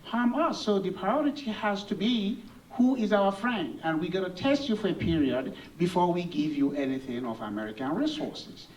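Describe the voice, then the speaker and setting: Male candidate, high-pitched, folksy, heard at a distance with faint room hum. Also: sing-song, normal speed. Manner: folksy, rhetorical questions, clears throat. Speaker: congressional candidate. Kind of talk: candidate forum answer. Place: event hall.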